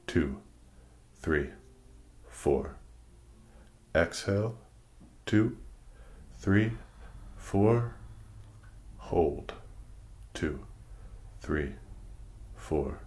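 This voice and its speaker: A man leading a guided meditation, giving soothing instructions in measured, deliberate phrases with pauses. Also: low voice, darkish tone.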